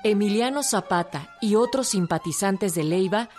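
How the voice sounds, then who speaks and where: A woman's voice: mid-range voice, animated, moderate pace, a little mellow. A woman with a Mexican accent narrating a scripted historical radio drama. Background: string music.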